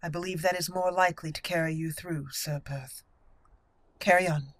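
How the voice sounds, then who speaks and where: A woman voicing an earnest elf mage leader in a fantasy game roleplay, formal and measured. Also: on the low side, fairly even intonation, dry recording, lightly acted. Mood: encouraging, resolute.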